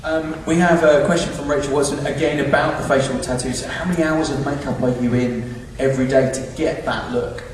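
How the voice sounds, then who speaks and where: A man's voice: medium pitch, fairly even intonation, a little fast. A man hosting a live panel Q&A, putting questions in an effusive way. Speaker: Q&A host. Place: large indoor cinema auditorium. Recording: echoey cinema hall, distant, noisy.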